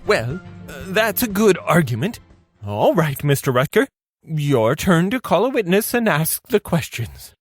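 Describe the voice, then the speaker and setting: A woman's voice: low-pitched, big pitch swings, a little slow, slightly rough, faint music behind, exaggerated voice. A woman playing a fussy, melodramatic male gourmet who presides as judge in an amateur radio play. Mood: solemn. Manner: melodramatic, indignant.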